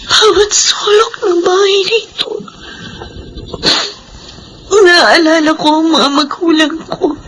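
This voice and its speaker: A woman's voice: very high voice, slow, pauses often, a bit bright, a little hiss or hum, exaggerated voice, poor audio. An actress playing a grieving, heartbroken young woman who talks through tears in a radio drama. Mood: weary, tearful. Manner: sobbing, accusing through tears. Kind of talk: Filipino radio drama acting.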